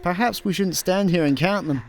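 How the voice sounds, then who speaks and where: A man's voice: sits high, normal speed, a little mellow, faint music behind. A Scottish man playing a laid-back, wry space slacker in a comedic radio play, dry and matter-of-fact.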